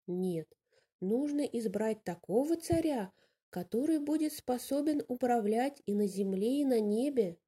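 A woman's voice: fairly high pitch, lively intonation, unhurried, mellow, breathy edge. A woman voicing a shrewd female bird in a fairy tale, making an assertive case to the flock. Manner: assertive, reasoning speech to a gathering. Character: shrewd female bird urging fellow birds on.

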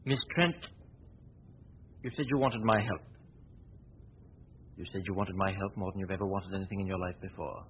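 An actor playing a suave, probing gentleman detective in a 1940s radio drama, questioning in a measured, formal way. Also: steady tone, close to an everyday voice. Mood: wry, interested. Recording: tinny, noisy 1940s radio recording with rumble.

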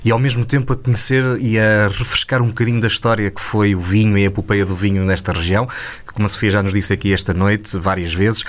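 A man with a Portuguese accent hosting a radio interview in a conversational, discursive way with long explanatory phrases. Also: fairly low pitch.

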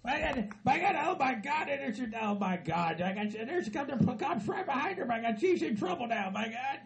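A shrill gravelly hillbilly geezer. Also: male voice, expressive, moderate pace, a little hiss or hum, exaggerated voice. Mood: panicked. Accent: Southern US hillbilly. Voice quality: high-pitched and gravelly. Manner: rambling, stammering, laughs through his words, bursts into yelling. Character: frantic aging hillbilly husband, loud and cussing. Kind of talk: comedy sketch.

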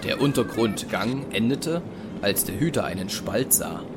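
A man narrating a science fiction radio drama in a measured, solemn, scripted style. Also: lowish voice, animated. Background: music.

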